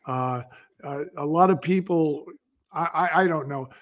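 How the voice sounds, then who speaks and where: A man's voice: on the high side, measured pace. An American man talking as an interview guest, telling stories conversationally and emphatically, with rhetorical questions. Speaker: interview guest, company founder.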